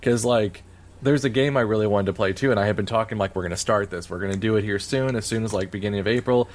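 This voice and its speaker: A man from Missouri co-hosting a podcast in casual chat, talking in a fairly flat, monotone way with lots of "like". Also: fairly low pitch, fast. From Missouri, USA. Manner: monotone, heavy use of "like". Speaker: podcast co-host.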